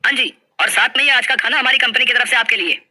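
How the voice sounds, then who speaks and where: A man's voice: extremely high pitch, fast talker, pushed and bright, full character voice. A man playing a cheerful, polite lottery official with hesitant openings in a dramatized folk story. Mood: excited, polite.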